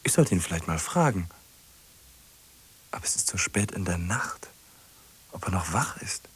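A man's voice: deep voice, lively intonation, a bit bright, breathy edge, full character voice. An actor playing a hesitant, lonely, obsessive man who stumbles and repeats himself in a radio play. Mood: musing, restless. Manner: halting, repeats himself, muttering to himself.

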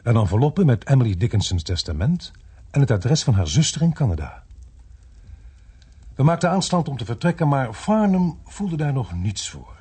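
Husky, weary police inspector. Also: male voice, mid-range voice, big pitch swings, normal speed, mellow. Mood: matter-of-fact. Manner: curt, dry, matter-of-fact. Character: weary, sceptical male police inspector, divorced. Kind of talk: radio play acting and narration.

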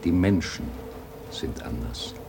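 A man's voice: low voice, lively intonation, slow pace, noisy background, exaggerated voice. A man playing a troubled old grandfather in a German radio play, hesitant and wistful, longing for a world he remembers. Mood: shaken.

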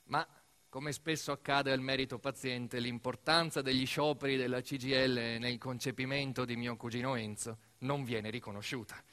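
Forceful male theatrical storyteller. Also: medium pitch, steady tone, normal speed. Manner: emphatic, dramatic, with repetitions and swings between hushed and raised. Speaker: stage actor narrating a monologue.

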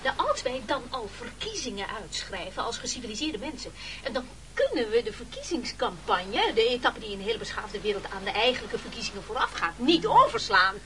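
A man's voice: very high voice, sing-song, a little fast, exaggerated voice. A man playing a timid, evasive little castaway in a radio play, pleading in a hesitant, plaintive stammer. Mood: fussy, protesting. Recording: slightly noisy old radio drama recording.

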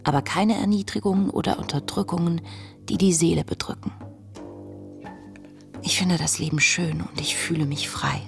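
An actress playing a young woman diarist in a radio play, earnest and reflective, speaking in a measured, intimate way. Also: on the low side, creaky. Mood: quietly resolute. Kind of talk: radio play, diary read as monologue. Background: music.

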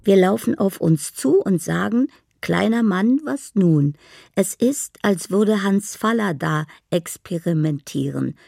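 An actress playing a dreamy, poetic woman in a radio drama monologue, musing in a gravelly voice over music. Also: medium pitch, expressive, takes it slowly, a little mellow, exaggerated voice. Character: dreamy, poetic woman living in her imagination.

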